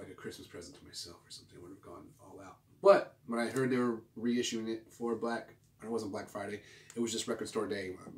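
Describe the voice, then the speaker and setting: A man in his forties chatting casually to camera like a vlog host, with a laid-back, rambling, slangy delivery. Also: fairly low pitch. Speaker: host of a record collecting video channel. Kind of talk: casual show-and-tell vlog chat. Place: small home room, indoors. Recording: roomy, distant mic with clear background noise.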